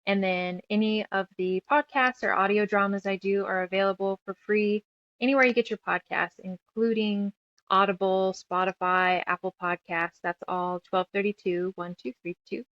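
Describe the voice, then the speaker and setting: Female interview guest, chatty and self-effacing, mid-pitched, lo-fi dry sound. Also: steady tone, normal speed, dry recording. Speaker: guest author and musician. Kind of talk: casual interview chat.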